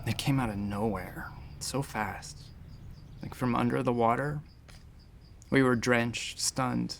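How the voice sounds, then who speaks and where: An actor playing an anxious, wry space station doctor who rambles and halts in a sci-fi audio drama. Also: male voice, medium pitch, fairly even intonation, vocal fry, noisy background. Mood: shaken. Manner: rambling, halting, self-interrupting. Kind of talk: audio drama acting, conversational narration.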